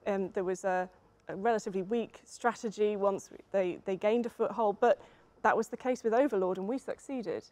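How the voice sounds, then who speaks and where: A young British woman gives an explanatory talk on a stage panel. Her voice is mid-pitched, and she is emphatic, often repeating words as she builds a point. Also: a little fast, darkish tone. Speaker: panellist, history expert. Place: theatre stage, seated panel.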